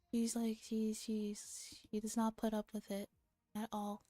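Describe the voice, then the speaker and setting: A woman streamer with a soft, often whispery voice, reading a visual novel in ASMR style with casual comments. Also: fairly high pitch, completely flat delivery, slow, no room sound.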